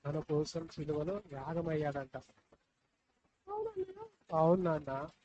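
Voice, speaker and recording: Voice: mid-range voice, steady tone, slow, warm tone. A puppet show host talking live to children, coaxing and repeating questions. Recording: dull lo-fi live recording, faint noise.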